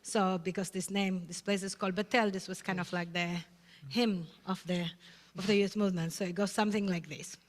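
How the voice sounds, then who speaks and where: A woman from Chile, leading a Shabbat service, speaking in a halting, searching way and singing Hebrew verses. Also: mid-range voice, fairly even intonation. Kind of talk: Shabbat service sermon with chanting. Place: synagogue sanctuary. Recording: long reverb of a sanctuary.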